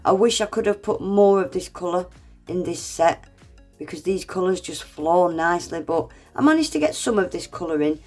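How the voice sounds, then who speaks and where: A woman's voice: on the low side, animated, normal speed, a little mellow, faint music behind. A woman with a congested, under-the-weather voice, chattily talking viewers through a nail art tutorial. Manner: chatty, self-deprecating, addresses viewers as guys. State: congested, unwell. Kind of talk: casual step-by-step tutorial narration.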